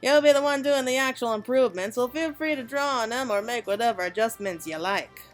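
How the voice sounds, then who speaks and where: A woman voicing a brisk, enthusiastic craftswoman from a fantasy game in a folksy manner. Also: on the high side, faint music behind, natural voice, rough recording. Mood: businesslike.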